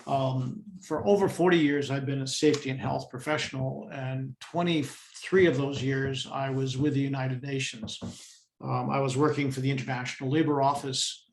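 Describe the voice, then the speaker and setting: Man, 60s, American accent, monotone, measured pauses, echoey room. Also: medium pitch, creaky, slight background noise. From United States. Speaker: vice president of a safety institution. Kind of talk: brief self-introduction.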